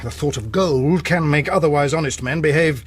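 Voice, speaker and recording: An actor playing a genial gentleman ship's doctor, educated and reassuring, in a radio drama. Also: on the high side, moderate pace, husky.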